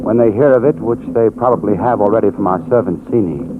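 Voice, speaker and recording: A grave, fearful man acted by a man in a vintage radio horror play. He speaks in a low, deliberate, ominous voice under very heavy noise on a tinny recording. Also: lightly acted. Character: grave, fearful man of a cursed island family. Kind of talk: 1940s radio acting.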